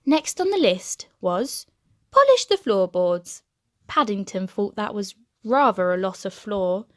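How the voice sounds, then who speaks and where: Slow-paced woman reading a children's story. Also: medium pitch, big pitch swings, dry recording, studio quality. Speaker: storybook reader. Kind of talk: expressive children's story narration.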